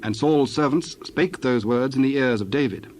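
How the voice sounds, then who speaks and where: A man narrating a dramatized Bible reading in a measured, formal storytelling style. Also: medium pitch, darkish tone, slight background noise.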